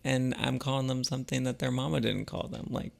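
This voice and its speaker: A man chatting casually and reflectively to viewers as a vlogger, in a halting, musing way with trailing pauses. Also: medium pitch, flat delivery, vocal fry. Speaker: vlogger and artist.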